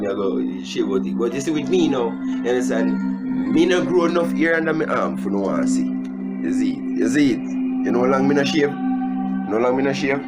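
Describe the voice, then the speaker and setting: A young man with a Jamaican accent chatting casually as a video host, animated and joking, repeating himself for emphasis. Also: mid-range voice. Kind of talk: casual storytime chat. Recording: music playing behind the voice.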